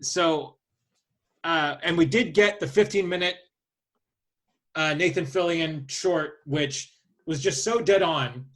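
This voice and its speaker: Guy chatting on a podcast, bit higher voice, kinda halting as he goes. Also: a bit bright. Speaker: podcast co-host. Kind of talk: casual podcast chat.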